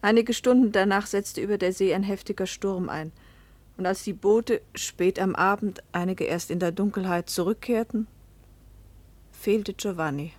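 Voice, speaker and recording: Breathy, remorseful young wife, acted by a woman. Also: mid-range voice, normal speed, a little mellow, no echo, close to an everyday voice. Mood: sorrowful, reflective. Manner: intimate, confessional, dramatic. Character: Camilla, passionate, remorseful young wife and mother. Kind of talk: German radio play acting and first-person narration.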